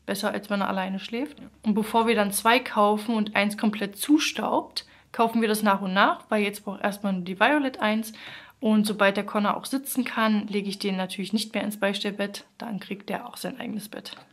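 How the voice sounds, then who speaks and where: A woman's voice: medium pitch, steady tone, moderate pace. A woman in her thirties chatting casually in a daily family vlog, recorded at home on a camera at arm's length. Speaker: family vlogger, mother of three. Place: furnished rooms at home, indoors. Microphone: handheld or propped camera at arm's length.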